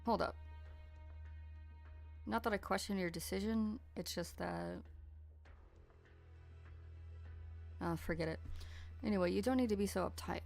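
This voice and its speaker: A woman's voice: mid-range voice, normal speed, vocal fry, pauses often, darkish tone, soft background music, lightly acted. A woman playing a wary, blunt, seasoned mercenary with a cool delivery in an amateur game dub. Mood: cool, probing.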